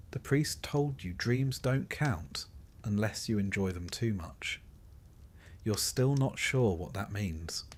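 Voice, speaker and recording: A man with a fairly low voice narrates a history bedtime story in the second person. He tells it in measured phrases, with dry and understated wit. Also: slight background noise.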